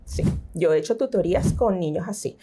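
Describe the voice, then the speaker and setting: A middle-aged woman with a Venezuelan accent, a teacher giving an interview and explaining reading and pronunciation with lively examples. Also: lowish voice, brisk, breathy edge. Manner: explanatory, animated, frequent fillers. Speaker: teacher and tutor, interview guest. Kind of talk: conversational interview.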